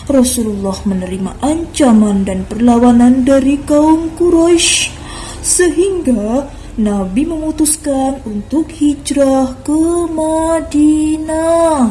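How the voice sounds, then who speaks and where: A woman's voice: sits high, warm tone, slight background noise. A woman voicing Loli, a little girl who patiently explains a story to her friend in a children's skit. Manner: explains slowly and carefully, like a lesson. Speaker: kindergarten teacher.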